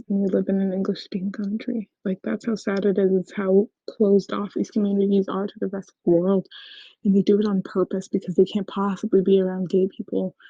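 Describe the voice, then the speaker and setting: A caller speaks in a hushed, near-whispered voice, with a very dark, husky and gravelly timbre. The delivery is flat and level throughout. The voicemail sounds dull and dry. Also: mid-range voice, no echo. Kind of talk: casual voicemail.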